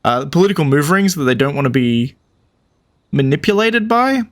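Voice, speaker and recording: A man's voice: on the high side, big pitch swings, fairly quick. A man giving casual gameplay commentary, thinking aloud in a rambling way. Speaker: gaming streamer.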